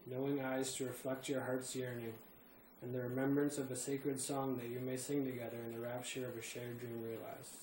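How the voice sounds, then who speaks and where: Even-toned man reading in an echoey room. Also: mid-range voice, normal speed. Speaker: reader. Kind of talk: spiritual reading of a written text. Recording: roomy echo with faint background noise.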